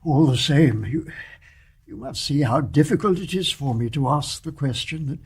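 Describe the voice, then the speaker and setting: An actor playing a courteous, tactful older major in a radio drama, polite and hesitant. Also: male voice, on the high side, animated, normal speed, breathy edge, full character voice. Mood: awkward, tactful. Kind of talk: stage-play radio drama acting.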